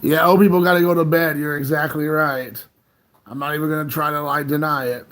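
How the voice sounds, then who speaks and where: A man's voice: on the high side, flat delivery, brisk, fairly bright tone. A man in his late thirties hosting a casual home live stream from his phone, rambling and chuckling as he chats with viewers. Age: 30s. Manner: rambling, laid-back, chuckling often. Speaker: livestream host.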